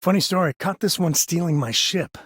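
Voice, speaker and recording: An actor playing a wry, warm-hearted middle-aged spacefaring cowboy and ex-ranger in a video game, with a husky voice and a folksy, conversational delivery. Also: male voice, on the high side, brisk, dry recording, studio quality. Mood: wry, teasing. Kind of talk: naturalistic video game character dialogue.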